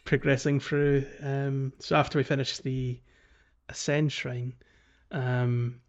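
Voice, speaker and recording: A man hosting a casual video game discussion, speaking in a hesitant, halting way. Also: mid-range voice, level tone, highs cut off. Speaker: podcast host.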